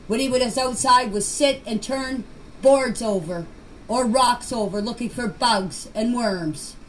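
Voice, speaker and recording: An older woman with a high-pitched voice shares personal memories. She talks in a plain, folksy way and circles back to repeat phrases. The amateur voice clip carries some background noise. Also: unhurried, poor audio. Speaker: grandmother recounting memories. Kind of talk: informal personal recollection.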